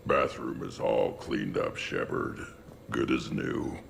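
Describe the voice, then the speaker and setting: Huge gruff alien, bottomless pitch, slow delivery, gravelly, video game. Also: male voice, a little hiss or hum, heavily acted. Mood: sheepish, groggy. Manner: slow, blunt.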